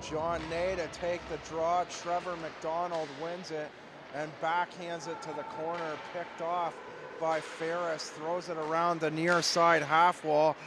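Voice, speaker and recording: A man with a cold, hoarse and losing his voice, calling ice hockey play-by-play in a steady, measured way from an arena. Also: on the high side, heavy background noise. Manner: steady, measured call that climbs to shouting on goals. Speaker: play-by-play commentator.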